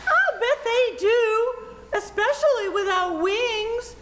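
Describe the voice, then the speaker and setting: A woman acting a defiant, excitable little girl with a whiny, emphatic delivery in an amateur radio play. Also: extremely high pitch, takes it slowly, heavily acted. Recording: echoey room, faint background noise.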